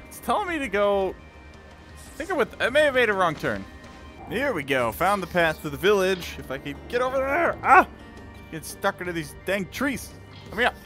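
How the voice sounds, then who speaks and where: A man doing casual gameplay commentary in a playful, reactive way. His voice is rather high and swings a lot in pitch, with game music behind it. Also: bright, forceful tone. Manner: playful, muttering reactions and exclamations. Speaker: gaming video host.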